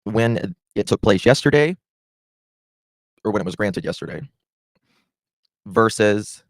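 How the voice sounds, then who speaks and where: Guy doing a livestream, kinda low voice, chatty, stops and starts, real dry sound. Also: animated, unhurried, no echo. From Southern United States. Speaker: livestream host. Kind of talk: casual livestream commentary.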